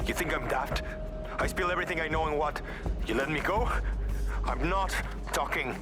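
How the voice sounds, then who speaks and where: Man playing a higher-voiced defiant prisoner. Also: normal speed, close to an everyday voice. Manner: defiant, tough. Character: defiant male captured resistance fighter. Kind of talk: video game voice acting. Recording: loud music behind the voice.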